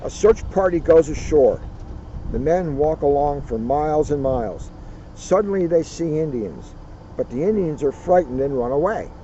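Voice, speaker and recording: Male read-aloud storyteller, mellow tone, dramatic deliberate phrasing, outdoor recording with faint vehicle noise. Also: a little high, expressive, highs cut off. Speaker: police officer reading a children's book. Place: outdoors at a turkey farm.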